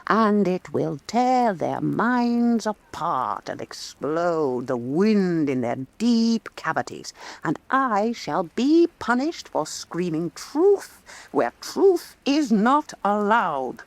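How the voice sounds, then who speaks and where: A woman's voice: mid-range voice, very animated, slow, few pauses, no echo. An actress playing a proud, mercurial woman painter who talks in a grand, cutting and theatrical way. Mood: scornful. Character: imperious, mercurial woman painter who scorns her critics. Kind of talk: radio drama acting.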